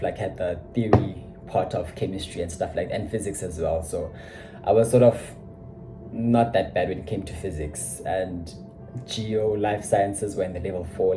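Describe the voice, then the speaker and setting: A young man, casually recounting his school years, reflective and self-deprecating, with frequent "you know" asides. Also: fairly low pitch. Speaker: vlogger. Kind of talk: casual personal storytelling.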